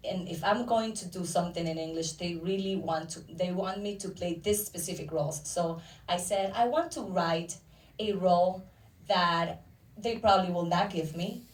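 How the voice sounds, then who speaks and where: A Dominican-accented woman with a lowish voice answering questions at a festival talk, heard across an echoey room. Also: moderate pace. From Dominican Republic. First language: Spanish. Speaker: actor and filmmaker interviewed. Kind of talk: casual interview answers. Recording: roomy echo, distant microphone.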